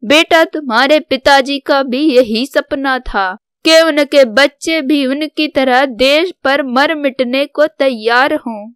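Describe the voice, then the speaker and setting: A woman voicing a proud, devoted older mother in a cartoon story, solemn and measured. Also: high voice, fairly bright tone, dry recording, clean studio sound. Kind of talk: scripted Hindi cartoon story dialogue.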